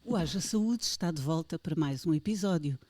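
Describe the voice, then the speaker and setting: A woman's voice: low-pitched, animated, fairly quick, a little mellow, no room sound. A woman with a European Portuguese accent hosting a health podcast, interviewing a guest with playful, theatrical questions. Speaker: podcast host.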